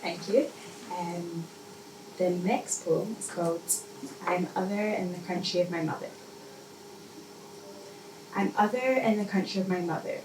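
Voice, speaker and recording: A woman reading her spoken-word poetry at a live event, with measured, deliberate phrasing and pauses. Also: lowish voice. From Scotland. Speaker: poet. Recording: echoey, noisy room, mic distant.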